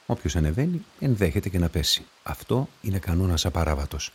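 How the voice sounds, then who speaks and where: An actor playing a methodical police investigator who reasons aloud deliberately in a radio drama. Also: male voice, low-pitched, sing-song, breathy edge, full character voice. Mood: pondering.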